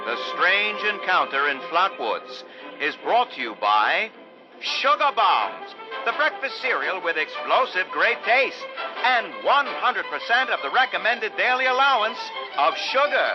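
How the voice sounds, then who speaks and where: An actor playing a grandly mysterious, melodramatic announcer and narrator of an old-time radio serial. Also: male voice, high-pitched, very animated, a little slow. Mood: upbeat, salesy. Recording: dull vintage radio recording over loud music.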